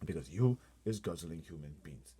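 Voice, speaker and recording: Man voicing an emphatic, husky friendly giant. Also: rumbling low, lively intonation, normal speed, warm tone, pitched below the performer's own voice, heavily acted. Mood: scolding. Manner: mangled grammar, emphatic. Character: gentle, earnest friendly male giant with quaint grammar.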